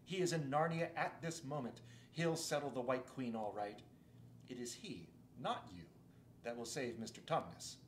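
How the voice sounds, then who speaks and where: A folksy, earnest male beaver from a storybook, talking loud and emphatic in a rustic way, as a man reading aloud puts him on. The voice rings a little in a roomy space. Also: medium pitch, moderate pace, slight background noise, close to an everyday voice. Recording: roomy echo.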